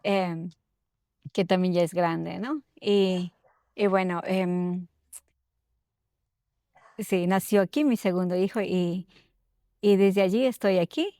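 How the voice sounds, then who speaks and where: A middle-aged woman from Ecuador, telling her personal story haltingly as an interview guest, often checking in with tag questions. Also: on the low side, fairly even intonation, mellow, no echo, studio quality.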